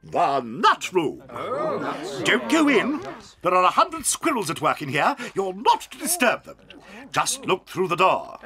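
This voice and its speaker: A man playing an eccentric, excitable and mischievous sweet factory owner in a dramatised audiobook, theatrical and gleeful, then abruptly dismissive. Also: high-pitched, measured pace, over loud music, full character voice. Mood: excited.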